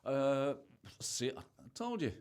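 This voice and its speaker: A man's voice: mid-range voice, big pitch swings, slow pace, a little mellow, smooth. Man acting an evasive, irritable husband, swooping intonation. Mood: evasive, nervous. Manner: defensive, sarcastic. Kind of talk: amateur student radio play acting.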